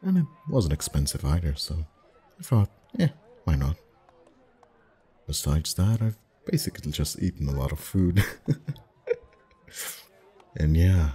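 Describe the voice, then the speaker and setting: A man acting as a friendly festival face painter with an extremely low, mellow voice. He chats intimately in ASMR roleplay style, easygoing and gently teasing, with a flirty streak. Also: heavily acted. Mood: chatty, easygoing. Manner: chatty, gently teasing, soft chuckles. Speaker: voice actor in an audio roleplay. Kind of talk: ASMR roleplay, one-sided intimate conversation.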